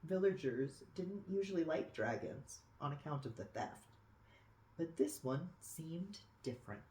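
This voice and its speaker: A storyteller reading a picture book aloud expressively for children, with dramatic pauses and cooing asides. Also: mid-range voice, lively intonation, dark tone. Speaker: storybook reader. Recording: echoey room.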